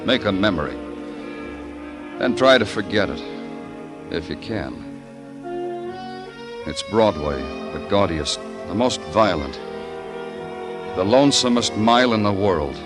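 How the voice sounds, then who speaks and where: An actor playing a world-weary, hard-boiled American police detective in 1950s radio noir, speaking in terse, moody phrases. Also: male voice, on the low side, lively intonation, a little slow, long pauses, music in the background. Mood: brooding, weary.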